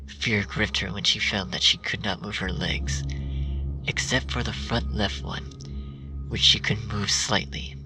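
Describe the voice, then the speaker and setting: Casting: gravelly low male reader murmuring a grim story over a loud music bed. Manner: hushed, half-whispered reading. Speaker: fanfiction reader. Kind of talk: dark storybook narration. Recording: distant mic with music bed.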